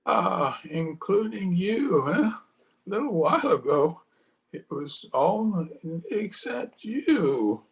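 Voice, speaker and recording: An actor playing a feeble, forgetful old husband in a radio play, halting and repeating his words, tender and querulous. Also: high voice, very animated, creaky, exaggerated voice. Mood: tender, happy. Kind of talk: old-time radio drama acting. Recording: home video call with music.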